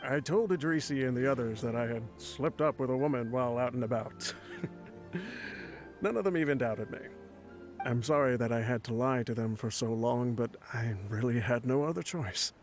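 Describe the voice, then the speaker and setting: A man's voice: mid-range voice, normal speed, lightly acted. A man playing an ailing old mercenary leader in a video game, wry, boastful and fatherly as he voices a letter. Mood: tender, wry. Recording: game music behind the voice.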